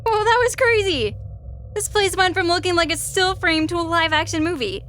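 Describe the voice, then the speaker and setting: A woman's voice: very high-pitched, moderate pace. A woman playing a sassy, destruction-loving spaceship program, sarcastic and blunt, in a comic sci-fi audio drama with faint noise behind. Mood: amazed.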